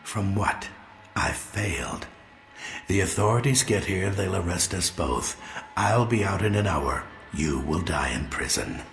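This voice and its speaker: An actor playing a commanding, grim villain bargaining in a measured, grave manner in a video game. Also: male voice, low-pitched, fairly bright tone. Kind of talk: video game cutscene acting. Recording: roomy echo, faint music behind.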